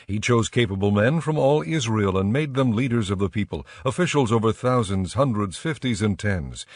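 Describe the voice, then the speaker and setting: A man narrating scripture for a dramatized audio production in a measured, formal storytelling manner. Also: fairly low pitch, expressive, no echo. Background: soft music.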